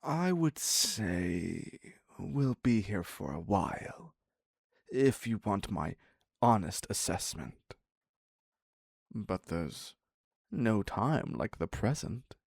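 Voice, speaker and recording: Guy doing an obsessive clingy captor for dark romance audio, super slow and halting, whispery, close dry sound. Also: lowish voice, very animated, no echo, heavily acted. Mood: eager, devoted. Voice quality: breathy, husky, often dropping to a whisper. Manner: halting, pleading, very slow with long pauses. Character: obsessive, needy male captor, former patient devoted to his captive doctor.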